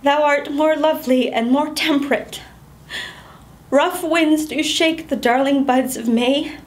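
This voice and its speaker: A woman's voice: sits high, unhurried. A woman performing a stage monologue as a young wife who pleads with her husband not to divorce her, laughing through near tears and rambling. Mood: frantic, laughing.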